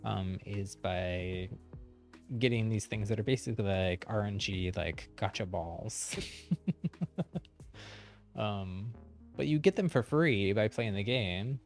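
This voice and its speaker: A man with a fairly low voice hosts a casual game stream. He talks informally and haltingly, as if working out his thoughts aloud. Faint game music plays behind him. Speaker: livestreamer, channel host.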